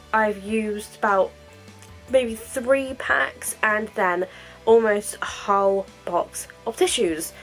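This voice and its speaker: A woman's voice: a little high, rough recording. Woman, home vlogger, congested nose, rambling and candid, faint background music. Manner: rambling, filler-heavy, candid. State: stuffy-nosed with a cold. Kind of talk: casual chatty diary vlog.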